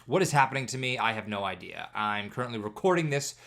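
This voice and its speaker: A man's voice: mid-range voice, animated, fast talker, a bit bright. A man in his thirties from Canada, stuffed up with congestion, hosting a casual solo podcast with self-deprecating jokes. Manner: self-deprecating, jokey storytelling. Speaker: podcast host.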